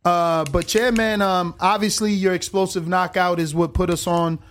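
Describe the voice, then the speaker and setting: A man's voice: sits high, fairly even intonation, normal speed, a bit bright. A man from New Jersey hosting a casual interview, asking his guest eager, conversational questions. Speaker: interview host.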